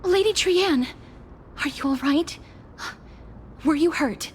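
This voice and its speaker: A woman's voice: high voice, a little hiss or hum. A woman voicing a composed, courteous, serious young noblewoman warrior in an anime-style game, speaking formally. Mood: concerned. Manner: formal, measured.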